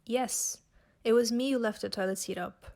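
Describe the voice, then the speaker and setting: A woman reciting a poem in a measured way. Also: on the high side, darkish tone. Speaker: poetry reader. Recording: prominent plucked guitar music behind voice. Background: guitar music.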